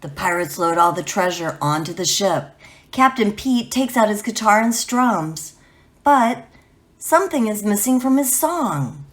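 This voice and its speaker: Animated, unhurried woman storyteller. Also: mid-range voice, dry recording. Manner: dramatic, emphatic storytelling. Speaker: children's storyteller and channel host. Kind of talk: expressive children's book read-aloud.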